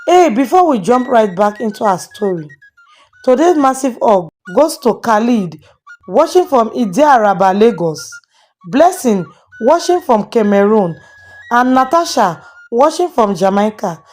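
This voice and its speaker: Woman narrating a dramatic moral story, highish voice, music playing behind her. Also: big pitch swings, measured pace, darkish tone. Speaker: storyteller and channel host.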